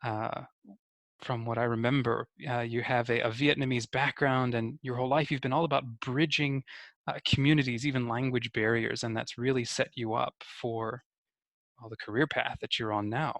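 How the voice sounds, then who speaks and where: A man's voice: medium pitch, little pitch movement, moderate pace, a bit bright, dry recording. A man hosting a conversational podcast interview, thoughtfully feeling his way through summaries and questions.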